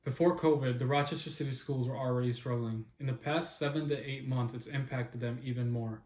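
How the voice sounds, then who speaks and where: A man's voice: mid-range voice, fairly even intonation, brisk, darkish tone. A man presenting and reading scripted narration for a script read-through. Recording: roomy echo.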